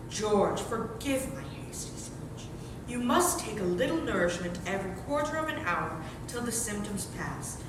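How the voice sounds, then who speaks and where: Casting: actress as brisk, gushing sister in stage farce, lively swooping delivery, recorded from far off. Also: female voice, natural voice. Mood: fussing. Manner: arch, emphatic. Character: brisk, teasing, theatrically gushing sister of a new father. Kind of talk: stage comedy readers theater. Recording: echoey, distant, noisy hall recording with rumble.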